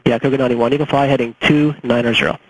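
A male air traffic controller speaks quickly at a middling pitch, issuing instructions over the radio. The transmission carries a faint layer of noise. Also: fairly even intonation. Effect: aviation radio.

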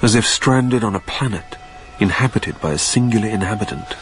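A man's voice: lowish voice, expressive, a little fast, natural voice, rough recording. An actor playing a lonely, troubled dead man who narrates in a brooding, literary manner in a radio drama.

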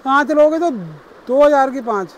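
A man selling clothes in a casual pitch, speaking emphatically in short, repeated phrases. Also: normal speed, dull audio. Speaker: clothing shop seller. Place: crowded clothing stockroom, indoors.

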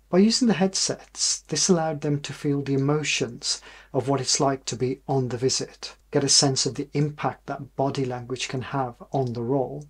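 A middle-aged man with a British accent presents research in a measured way. His voice is mid-pitched with a husky grain, and he explains each point as a lecturer would. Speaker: university professor presenting research.

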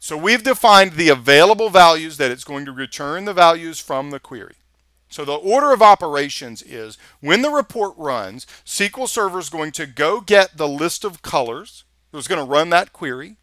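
Texan male instructor, screencast voice-over, bright and dry, casual and chatty while clicking around. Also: a little high, dry recording. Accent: Texas. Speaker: software instructor. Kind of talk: screencast tutorial walkthrough.

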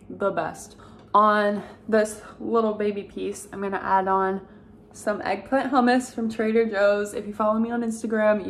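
A young woman talks straight to the camera in a home kitchen, upbeat and gushing as she chats about food. Her voice sits at an ordinary middle pitch. Faint kitchen sounds stay behind her. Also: a little hiss or hum. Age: young adult. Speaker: lifestyle vlogger. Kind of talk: casual chat to camera.

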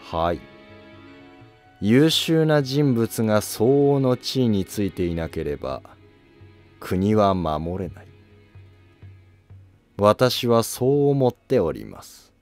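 A man playing a calculating, courteous court noble in a historical drama, polite, measured and self-assured. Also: fairly low pitch, very animated, a little mellow, soft background music, heavily acted. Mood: composed, resolute.

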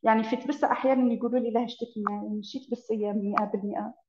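Fast-talking Eastern Algerian woman. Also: fairly high pitch, background noise. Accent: Eastern Algerian Arabic. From Tebessa, Eastern Algeria. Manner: quick, animated, laughs often. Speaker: interview guest. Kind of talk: casual chat explaining dialect. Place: room with bookshelves, indoors. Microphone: video call. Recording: roomy, dull video call audio.

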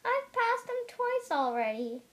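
A child playing a high-voiced, boastful taunting hare. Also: sing-song, a little slow, a bit bright, heavily acted. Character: flashy, rude, boastful male hare.

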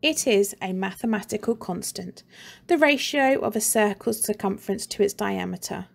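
A woman teacher reading a children's story aloud in a slow, expressive and playful way. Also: medium pitch, creaky.

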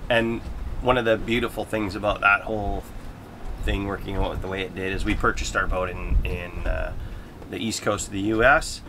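A man's voice: lowish voice, fairly even intonation, normal speed, bright, forceful tone, a little hiss or hum. A man from Canada with a Canadian accent, co-hosting a vlog in casual chat. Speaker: co-host of a sailing vlog. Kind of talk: casual chat, answering viewer questions.

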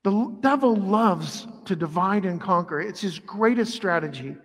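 A middle-aged man, a priest, giving a conversational, animated homily at the altar of a large church. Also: high voice, moderate pace. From Ireland heritage. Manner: animated, conversational preaching with wide arm gestures. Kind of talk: sermon. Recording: long church reverb.

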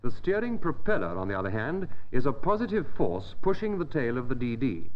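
A middle-aged man giving measured, precise, formal narration for a military training film. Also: mid-range voice, big pitch swings. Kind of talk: scripted instructional narration. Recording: dull lo-fi 1940s film soundtrack.

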